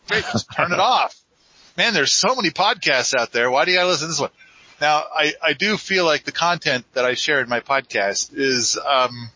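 A man's voice: on the high side, bright, forceful tone, muffled recording. A man hosting a live podcast in a rambling, casual, self-deprecating way. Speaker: podcast host.

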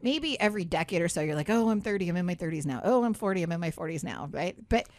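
A woman's voice: fairly low pitch, lively intonation, quick pace, a little mellow, dry recording. A woman hosting a radio show in a studio, talking in a chatty, upbeat way with many asides. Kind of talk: casual talk radio chat.

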